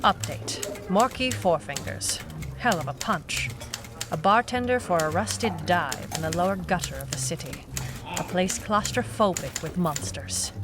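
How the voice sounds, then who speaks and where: An actress playing a terse, suspicious female private eye with no memory, deadpan in a noir audio drama. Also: on the low side, a little slow. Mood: dry deadpan. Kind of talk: noir detective audio drama, narration and dialogue. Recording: music playing behind the voice. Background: music.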